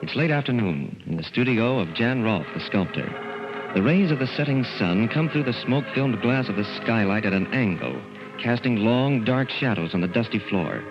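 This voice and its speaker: A man narrating in 1940s radio horror style as announcer, ominous, deliberate and portentous. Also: medium pitch, animated. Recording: muffled old radio recording. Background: dramatic music.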